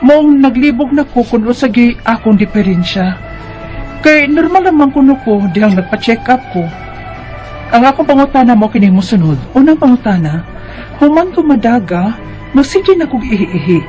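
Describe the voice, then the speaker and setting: Woman playing plaintive high-pitched Bisaya schoolgirl, music behind. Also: expressive, moderate pace, dark tone, lo-fi sound, lightly acted. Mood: puzzled, troubled. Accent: Cebuano Bisaya Filipino. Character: Maria, tired complaining teenage schoolgirl, worried and whiny. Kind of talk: Bisaya radio drama acting.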